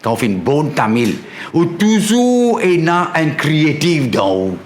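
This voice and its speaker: A man's voice: on the high side, very animated, unhurried, reverberant. A man giving an impassioned speech about identity, declaiming and piling up lists of phrases.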